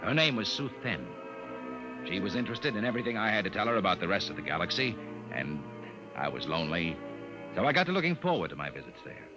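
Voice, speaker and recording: A man's voice: low voice, big pitch swings, fast talker, poor audio. An actor playing an earnest, cautious male aide who also narrates, in the manner of 1950s radio drama. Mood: earnest narration. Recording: vintage radio recording with music.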